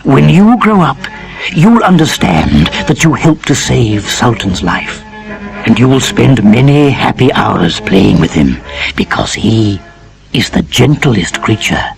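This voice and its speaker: A man voicing a clever old male wolf in a storybook, gentle and sly, speaking in slow, hushed, scheming tones. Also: medium pitch, big pitch swings, creaky, faint music behind, heavily acted. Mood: soothing, tender.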